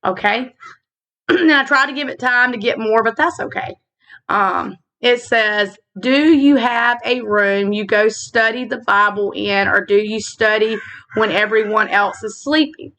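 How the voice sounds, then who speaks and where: A woman with a slightly raspy voice, hosting a casual faith vlog, talking earnestly with fillers and slow reflective stretches into a laptop mic. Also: fairly high pitch, pushed and bright. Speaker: channel host. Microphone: laptop built-in mic.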